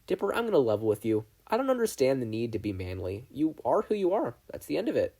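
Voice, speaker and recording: A man voicing an earnest, caring young male friend in a story, with a gentle, sincere manner. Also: mid-range voice, big pitch swings, fast, pitched above the performer's own voice. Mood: reassuring.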